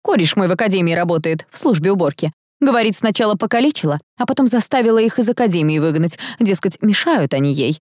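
A woman's voice: medium pitch, lively intonation, fast, a little mellow, no room sound, pitched above the performer's own voice, lightly acted. Casting: woman narrator as nosy market salesman, scandal-mongering, unpleasant voice, audiobook style, very dry sound. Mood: knowing gossip. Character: gossipy male shop seller with an unpleasant voice.

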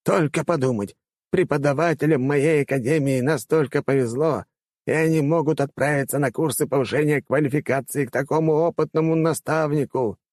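A man's voice: a little high, fairly quick, a little mellow, dry recording, clean studio sound, voice pushed up, lightly acted. A man voicing a pompous, exclamatory elderly male academy rector in a fantasy audiobook. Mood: fervent exclaiming.

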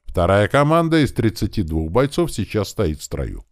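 A man's voice: lowish voice, sing-song, moderate pace, dry recording, studio quality, pitched above the performer's own voice. A man voicing a military officer who reports formally in clipped phrases, in an audiobook story. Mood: formal, loud. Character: military deputy officer giving a crisp parade report.

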